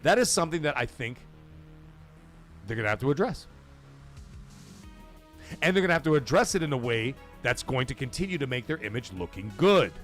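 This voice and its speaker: A man hosting a casual live commentary stream, talking to his chat in an animated, profane way full of rhetorical questions. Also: medium pitch, fairly quick, pauses often, faint music behind. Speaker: livestream host.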